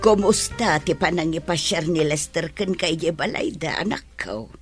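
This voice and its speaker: A woman playing a caring, inquisitive middle-aged mother in an audio drama, with a husky voice. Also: low voice, normal speed, soft background music, natural voice. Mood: curious, concerned.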